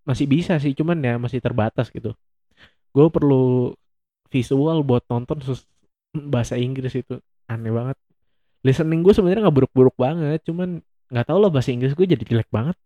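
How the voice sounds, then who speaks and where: A man's voice: medium pitch, fairly quick, long pauses, mellow, no echo, muffled recording. A young man hosting a solo podcast, chatting casually in a rambling, self-mocking way and laughing often. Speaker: podcast host.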